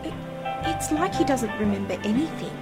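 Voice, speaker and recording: A woman's voice: high-pitched, animated, a little fast, over loud music. A woman playing a curious, sceptical young niece in an amateur science fiction radio play, speaking in a questioning, matter-of-fact way. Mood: puzzled.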